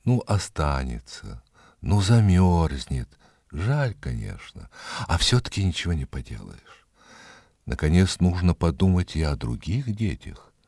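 Deep-voiced, animated male storyteller. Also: measured pace, husky, dry recording, studio quality. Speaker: storyteller, narrator. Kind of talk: expressive scripted story narration.

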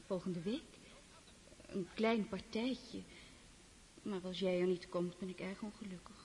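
An actress in a Dutch radio drama playing a gentle, coaxing aristocratic lady who pleads softly and hesitantly. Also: lowish voice, fairly even intonation, a little slow, close to an everyday voice. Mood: pleading. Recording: reverberant, hissy old radio play recording.

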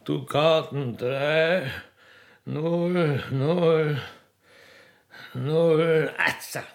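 Man playing a dithering, gravelly Austrian imperial secretary. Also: on the high side, fairly even intonation, very slow, pitched above the performer's own voice, exaggerated voice. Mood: muddled, resigned. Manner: hesitant muttering, spelling out as he writes.

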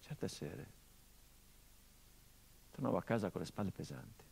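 A middle-aged actor playing the grieving son of a murdered journalist in a theatrical monologue, brooding and bitter, moving between hushed and impassioned delivery. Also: male voice, low-pitched, sing-song, a little slow, vocal fry, slightly rough, heavily acted. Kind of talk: theatrical monologue broadcast on radio.

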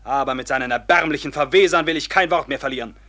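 Forceful, defiant robber captain played by a man. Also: mid-range voice, quick pace, natural voice. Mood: proud, defiant. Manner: declamatory, mocking, commanding. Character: proud, defiant robber captain, commanding his band. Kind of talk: classical stage-style radio drama acting.

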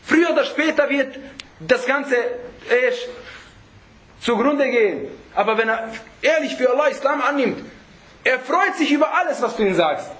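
A man's voice: very high-pitched, expressive, moderate pace, fairly bright tone, rough recording. A man preaching in an informal religious lesson, conversational and full of rhetorical check-backs to his listeners, with Arabic phrases mixed in. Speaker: Islamic preacher teaching a group.

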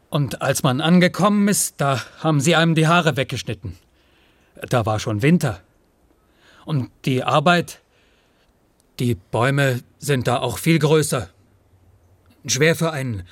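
An actor playing a rootless, humble and anxious peddler in a German radio play, with a halting, rambling way of talking. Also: male voice, medium pitch, no room sound, close to an everyday voice. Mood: plaintive, rambling. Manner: halting, rambling, says "one" instead of "I".

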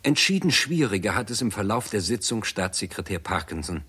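An actor narrating a 1960s German radio play in a sober, formal, report-like manner. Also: male voice, on the low side, sing-song, a little fast, sharp tone, breathy edge, exaggerated voice. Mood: sober, factual.

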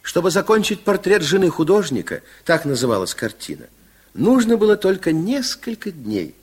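A man's voice: sits high, sing-song, moderate pace, slightly rough, faint music behind, full character voice. A man narrating a children's fairy tale as a storyteller in a radio play. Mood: storytelling.

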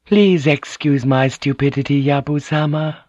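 A man voicing a soft, apologetic Japanese noblewoman who is cunning and deferential in an audiobook. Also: on the high side, moderate pace, no room sound, muffled recording, pitched above the performer's own voice. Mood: penitent. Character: penitent, cunning Japanese noblewoman, flattering and meek. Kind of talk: audiobook character acting.

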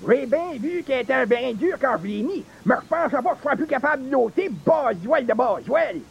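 An actor playing a cranky, folksy old rural grandpa with a Quebec French accent in a radio comedy. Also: male voice, expressive, a little fast, a little hiss or hum, full character voice. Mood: irritated, complaining. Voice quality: creaky, high-pitched old man's voice.

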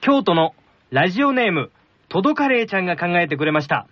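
A man with a high voice co-hosts a radio show, reading mail and chatting with animated intonation. The recording is dull. Music is loud behind him. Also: fast talker, dry recording. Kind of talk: casual radio chat, reading listener mail.

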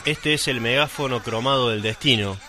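A man from Argentina reading a scripted radio narration in a measured, solemn way, addressing the listener directly. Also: mid-range voice. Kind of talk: scripted dramatic radio narration over music.

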